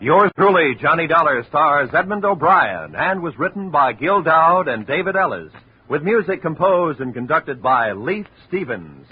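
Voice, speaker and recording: A man announcing radio program credits in a smooth, formal manner. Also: fairly high pitch, very animated, normal speed, slight background noise. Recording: tinny old radio broadcast with music.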